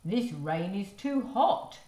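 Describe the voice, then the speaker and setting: A woman voicing a fussy, curious male creature in a children's storybook, with deliberate pronouncements. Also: mid-range voice, sing-song, dark tone, voice pushed up. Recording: roomy echo.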